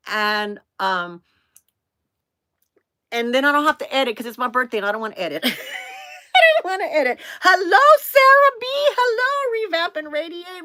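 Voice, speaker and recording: A woman of 57, hosting a casual live stream in an excitable, scattered, bubbly way and chatting to viewers. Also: sits high. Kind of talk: casual chat, greeting viewers by name.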